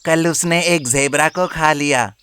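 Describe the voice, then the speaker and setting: A man's voice: a little high, a little slow, bright, forceful tone, clean tone, voice pushed up. A man voicing a clever, bold forest animal, such as a monkey, in an animal fable, with an urging delivery. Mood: urgent.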